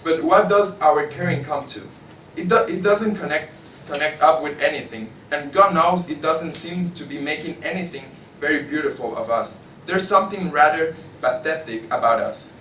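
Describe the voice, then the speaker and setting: A man's voice: a little high, normal speed, fairly bright tone, recorded from a distance, close to an everyday voice. Student acting a disillusioned male editor, echoey room. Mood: resigned. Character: jaded, disillusioned male editor of a radical journal. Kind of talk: student table read of a stage play. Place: indoor room, table read. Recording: echoey room from afar, faint noise.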